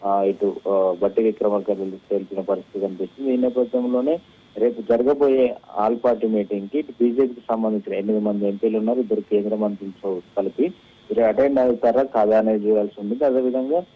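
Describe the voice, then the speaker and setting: A man reporting live as a news correspondent, unscripted and halting, repeating phrases and mixing in English words. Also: fairly low pitch, steady tone, slightly rough. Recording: tinny narrow-band phone line.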